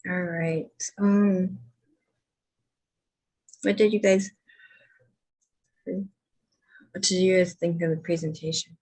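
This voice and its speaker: A woman hosting a group video call, speaking hesitantly with many pauses. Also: lowish voice, steady tone, a little mellow, breathy edge, poor audio. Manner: slow, hesitant, many ums. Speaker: meeting host. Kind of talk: casual group discussion.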